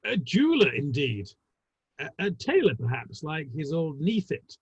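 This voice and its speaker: Husky, high-pitched, droll Victorian theatre man played by a man. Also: very animated, moderate pace, no room sound, muffled recording, exaggerated voice. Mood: droll, gossipy. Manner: arch, ornate, droll. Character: wry, talkative Victorian theatre manager, urbane and gossipy. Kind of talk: dramatic play reading. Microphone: online call.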